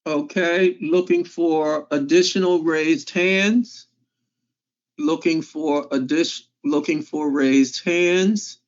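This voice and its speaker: A man moderating an online class, calmly calling on raised hands again and again. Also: fairly high pitch. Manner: repetitive, measured prompting. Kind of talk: calling on participants. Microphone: video call. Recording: dull lo-fi call audio.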